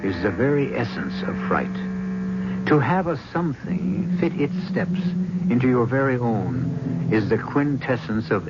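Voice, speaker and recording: Slow, ominous radio host. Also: male voice, fairly low pitch, noisy background. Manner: ominous, measured. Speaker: host and narrator. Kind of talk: theatrical radio narration. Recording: dull old radio broadcast with music behind.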